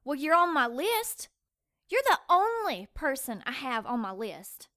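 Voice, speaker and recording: A woman's voice: high-pitched, sing-song, measured pace, sharp tone, heavily acted. A woman playing a suspicious, frightened young small-town woman in an audio drama, speaking in an accusatory, confrontational way. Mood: accusing, nervous.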